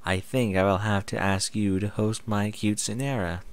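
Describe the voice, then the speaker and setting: A man's voice: lowish voice, steady tone, normal speed, natural voice. A man voicing a bashful, puzzled female pony in a read-aloud story, tentative and questioning.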